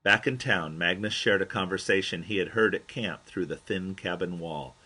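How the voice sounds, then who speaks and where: A man narrates a memoir in a fairly low, husky voice with a bright, forceful edge. He reads as the author of the book, an expressive storyteller who gives quoted dialogue its own delivery. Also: normal speed.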